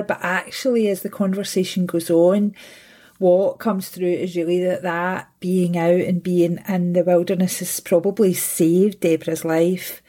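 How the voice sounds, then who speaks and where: A woman, hosting a podcast interview, warm and gushing as she introduces and encourages her guest. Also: fairly low pitch. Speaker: podcast host.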